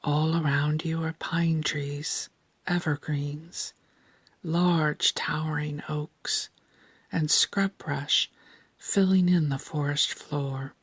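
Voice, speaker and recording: A woman narrating a scripted guided meditation in a soft, breathy, near-whispered voice, calm, deliberate and soothing. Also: low voice, dry recording.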